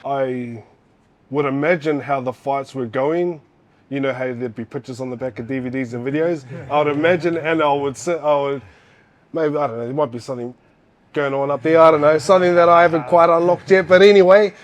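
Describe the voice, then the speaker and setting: A man's voice: on the high side, fairly even intonation, brisk. Chatty New Zealand man telling stories. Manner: rambling, many fillers and restarts, swears casually. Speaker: guest, former fighter. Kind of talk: casual interview storytelling.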